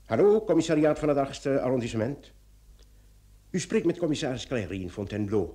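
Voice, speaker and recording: A man playing an excitable, wry police commissioner in an old Dutch radio drama, with a theatrical delivery. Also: mid-range voice, fairly quick, natural voice. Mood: brisk, wry.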